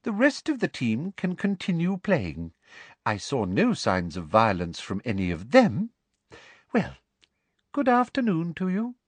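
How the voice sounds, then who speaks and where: Man putting on a smug, sugary older schoolmistress, pitched up. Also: wildly swooping pitch, unhurried, slightly rough, dry recording, exaggerated voice. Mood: sugary triumph. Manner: prim, self-satisfied, precise. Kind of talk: audiobook character voice.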